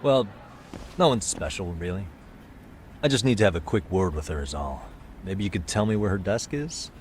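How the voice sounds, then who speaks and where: An actor playing a cool, probing private detective with a wry, measured delivery in a video game cutscene. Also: male voice, sits low, expressive, a little hiss or hum. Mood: polite, questioning. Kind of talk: video game cutscene acting, English dub.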